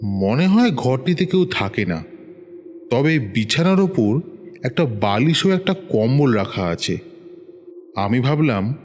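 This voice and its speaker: A man narrating a first-person horror tale in a measured, suspenseful manner. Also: mid-range voice. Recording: long reverb, music underneath.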